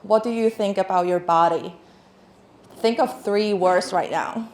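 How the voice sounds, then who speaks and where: A woman's voice: mid-range voice, unhurried, darkish tone, a little hiss or hum. A woman in her thirties from Hong Kong, with a Hong Kong accent, giving a casual talk on stage with lots of fillers and restarts. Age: 30s. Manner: chatty, laughing, many fillers and restarts. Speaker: speaker. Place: large hall with audience. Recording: echoey hall.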